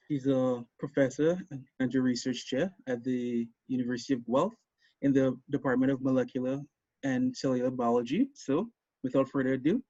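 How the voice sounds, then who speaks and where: The man who opens an online public lecture and introduces the speaker, close and dry with a relaxed, mellow tone. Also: medium pitch, no echo, lo-fi sound. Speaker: host introducing a guest. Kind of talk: webinar introduction.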